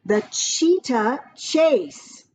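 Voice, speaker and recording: A woman reading a children's picture book aloud in an expressive, sing-song way, adding chatty asides about the pictures. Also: high voice, very slow, husky. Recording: slightly dull lo-fi, music behind. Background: music.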